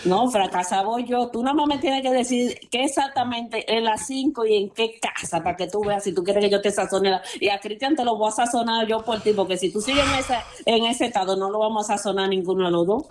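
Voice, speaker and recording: A woman's voice: a little high, fairly quick, hardly any pauses. Brash Dominican woman on a noisy call. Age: young adult. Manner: brash, insistent, repeats herself. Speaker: prank call recipient. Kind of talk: casual phone conversation.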